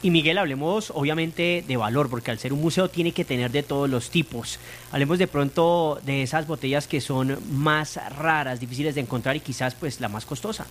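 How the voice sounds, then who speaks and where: A man co-hosting an interview, asking measured questions from further away. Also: fairly high pitch, slight background noise. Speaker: co-host. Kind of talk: interview host.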